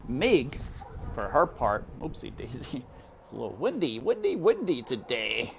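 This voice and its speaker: A woman reading a children's book aloud with expressive, animated narration and casual asides to her listeners. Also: extremely low pitch, unhurried, vocal fry. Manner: animated, addresses listeners as friends. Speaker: read-aloud storyteller. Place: outdoors in wind. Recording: windy outdoor ambience noise.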